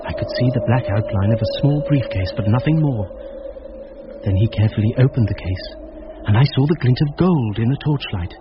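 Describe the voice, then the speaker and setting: An actor playing a tense witness, narrating a radio mystery in a measured, suspenseful manner. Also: male voice, medium pitch, lively intonation, lightly acted. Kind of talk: scripted radio drama narration. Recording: dull radio recording, clear background noise.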